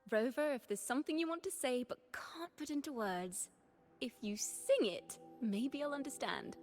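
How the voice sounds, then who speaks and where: Actress as gentle poetic musician over music. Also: female voice, high voice, normal speed, natural voice. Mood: gently playful. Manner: gentle, lyrical, thoughtful. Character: gentle, poetic young woman musician and guide. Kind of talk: video game voice acting. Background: game music.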